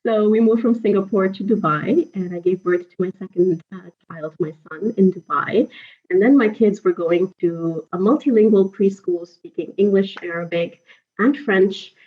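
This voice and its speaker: A woman in her forties, a native Urdu speaker, talks in an easy, animated way and laughs often between her stories. Her voice sits at a middle pitch. The recording is a little dull and muffled. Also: normal speed. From Pakistan. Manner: lively storyteller, frequent laughs and fillers. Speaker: interview guest. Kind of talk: conversational interview. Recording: slightly dull lo-fi audio.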